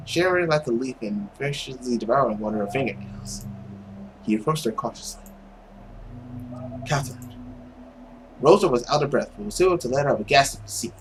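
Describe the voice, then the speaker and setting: A man's voice: medium pitch, slight background noise, poor audio. A man reading a visual novel aloud dramatically as a let's player, with commentary of his own.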